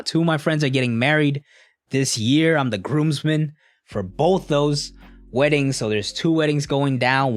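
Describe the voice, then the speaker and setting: A man's voice: medium pitch, no room sound. A young man casually chatting with a live stream audience in a laid-back, slangy, rambling way. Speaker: streamer answering live chat.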